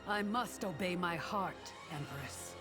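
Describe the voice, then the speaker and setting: An actress playing a devoted, respectful royal bodyguard in a video game, formal and earnest. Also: female voice, on the low side, slow. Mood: earnest, defensive. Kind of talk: video game character dialogue. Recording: loud music over the dialogue.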